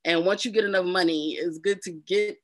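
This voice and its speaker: A woman's voice: on the low side, steady tone, fairly quick, dry recording. A woman with a New Jersey accent, a voice narrator talking casually as an interview guest, full of fillers and repeats. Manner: chatty, uses lots of fillers and repeats.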